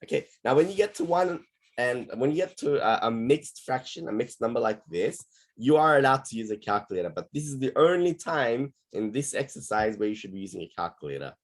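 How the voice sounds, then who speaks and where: A man teaching maths on an online class call, his voice going hoarse, explaining patiently step by step. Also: mid-range voice, sing-song, a little fast.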